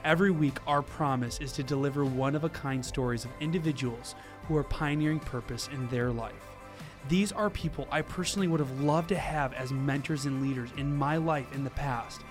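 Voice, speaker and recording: A man hosting a podcast, introducing and interviewing a guest with upbeat energy. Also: on the high side. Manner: upbeat and energetic, rising excitedly when greeting friend. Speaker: podcast host.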